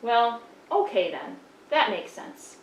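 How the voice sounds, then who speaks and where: A touchy, indignant sheep, voiced by a woman, protests in a huffy, defensive tone and keeps repeating her questions. The voice sits a little high and rings in an echoey church room. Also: sing-song, slow. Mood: puzzled. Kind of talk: church puppet show.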